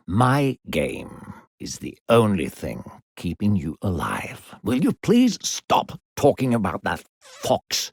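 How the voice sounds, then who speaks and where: A man voicing a falsely sweet, controlling demon narrator in a video game, theatrical and condescending, with a gravelly, husky voice. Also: on the low side, no echo, studio quality, full character voice. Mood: threatening, bitter. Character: smooth-talking sinister demon narrator coaxing a child.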